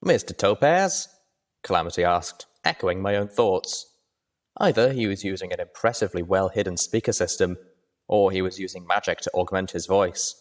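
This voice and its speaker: A man's voice: low-pitched, fairly even intonation, brisk. A man reading scripted adventure fiction as the narrator.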